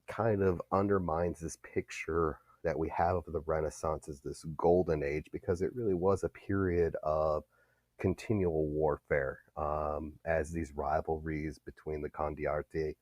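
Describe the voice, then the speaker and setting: Male history teacher, very low voice, dry sound, explains steadily. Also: steady tone, measured pace, a little mellow, no echo. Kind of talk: recorded classroom lecture.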